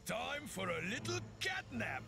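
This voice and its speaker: Gloating cartoonish villain, slow, high and gravelly, swoops wildly, loud score behind. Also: male voice, sing-song, music in the background, exaggerated voice. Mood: mocking. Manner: taunting, showy, cackling. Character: gloating male supervillain, mocking and theatrical. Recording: game cutscene with loud score.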